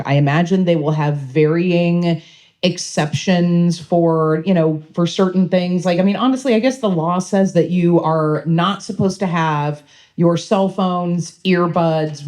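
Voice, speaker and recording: A middle-aged woman state representative with a low voice, speaking at a town hall in a library in a chatty, digressive, self-deprecating way. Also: a little mellow. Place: public library meeting room.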